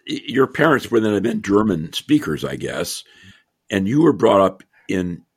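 A man's voice: fairly low pitch, sing-song, moderate pace, slightly rough, no echo, clean studio sound. An older man hosting an interview podcast, reading a formal introduction and then asking questions in a chatty way, often repeating words. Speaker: podcast host.

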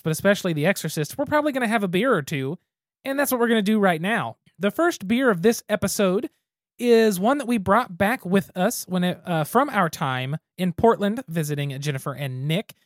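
A man's voice: sits high, animated, moderate pace, dry recording, clean studio sound. A man co-hosting a podcast, chatting casually and thinking aloud as he reviews a beer. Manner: easygoing, thinks aloud with restarts. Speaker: podcast co-host.

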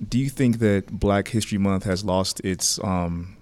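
A man's voice: sits low, fairly even intonation, measured pace, a little mellow, slight background noise. A man in a casual group chat, thoughtful, raising questions and building his argument.

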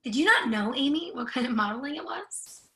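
A woman's voice: high-pitched, expressive, brisk, vocal fry, sharp tone, heavily acted. A woman playing a wry, bawdy young would-be model in a play reading, with a teasing way of talking. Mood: curious, wry.

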